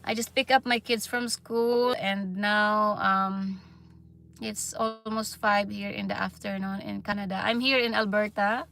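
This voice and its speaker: A woman's voice: mid-range voice, steady tone, gravelly, fairly bright tone. A woman in her thirties from the Philippines with a Filipino accent, chatting on a livestream with many fillers and restarts. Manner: halting, many fillers and restarts, laughs often. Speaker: livestream vlogger. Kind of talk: casual livestream chat.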